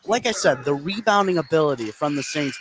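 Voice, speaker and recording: A man's voice: on the high side, animated, brisk, background noise. A man giving casual, explanatory color commentary during a live basketball game. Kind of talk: live basketball analysis, conversational.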